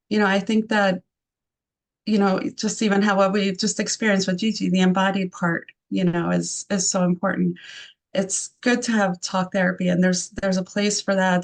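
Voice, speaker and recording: A woman from Argentina, a native Spanish speaker with an Argentine accent, answering interview questions thoughtfully with many pauses and fillers. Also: mid-range voice. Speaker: arts program founder.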